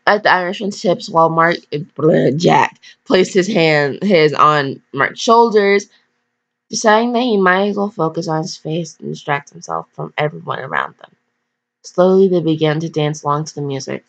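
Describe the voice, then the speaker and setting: A woman's voice: sits low, animated, moderate pace. A woman casually reading fan fiction aloud with slangy, blunt, digressive commentary and mock scolding. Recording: dull, lo-fi home recording.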